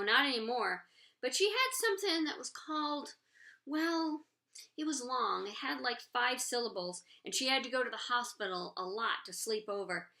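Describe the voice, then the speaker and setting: A woman voicing an excitable, quirky schoolboy in a storybook, earnest and exuberant. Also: fairly high pitch, voice pushed up. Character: excitable, quirky schoolboy who loves tape and glue.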